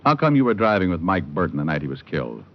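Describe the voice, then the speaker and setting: Deep-voiced, wisecracking private eye. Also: male voice, sing-song, fast talker, a little hiss or hum. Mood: wry, sardonic. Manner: sardonic, smooth-talking. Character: wisecracking, suave male private detective. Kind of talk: first-person noir radio narration and dialogue. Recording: muffled old radio recording with music. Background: music.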